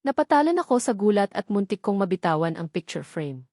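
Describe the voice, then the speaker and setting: A woman narrates a scripted drama in the first person. Her voice sits at a middling pitch. The recording is dry, with hardly any room sound. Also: big pitch swings, a little fast, darkish tone, no echo. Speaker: story narrator.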